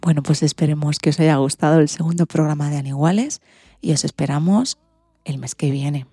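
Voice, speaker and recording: A woman's voice: sits low, a little fast, mellow, slightly rough, no echo, studio quality, faint music behind. A woman with a Castilian accent from Spain, presenting a scripted radio segment as host.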